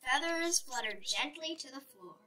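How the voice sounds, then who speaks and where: A woman's voice: high-pitched, expressive, moderate pace, pushed and bright, distant mic, soft background music, poor audio. A woman reading a children's picture book aloud expressively, with dramatic storytelling emphasis.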